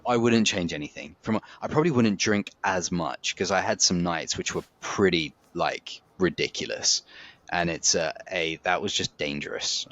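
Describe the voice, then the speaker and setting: A man's voice: sits low, fairly bright tone. A man, talking candidly and reflectively as a podcast co-host, laughing easily. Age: 40s. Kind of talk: casual chat. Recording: dry, slightly dull audio.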